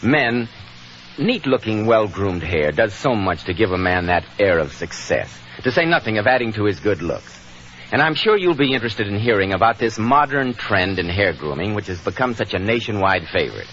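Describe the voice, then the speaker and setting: Low, animated American radio announcer man. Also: normal speed. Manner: polished, salesmanlike. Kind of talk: 1940s radio announcing and sponsor reading. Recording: muffled, hissy 1940s radio recording.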